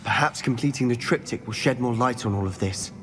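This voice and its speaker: A man's voice: mid-range voice, fairly quick, noisy background, lightly acted. A man playing an eager teenage wizard schoolboy in a cinematic fantasy game. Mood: reflective.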